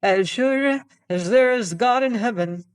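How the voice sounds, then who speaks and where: A reader voicing a solemn, shaken man who speaks in a hushed way. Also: mid-range voice, a little slow, clear, resonant tone, far from the mic, pitched above the performer's own voice, close to an everyday voice.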